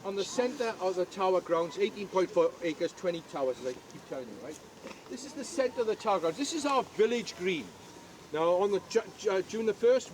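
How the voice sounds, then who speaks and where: A man's voice: high-pitched, noisy background. An older Welsh man giving a jokey, chatty guided tour outdoors at a historic castle, often asking the crowd questions.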